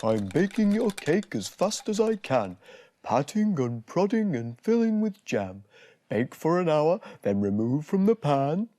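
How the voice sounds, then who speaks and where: A busy storybook baker, put on by a man, flustered and emphatic. His voice is raised in pitch and lively, with a rhythmic bounce. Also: unhurried, dry recording.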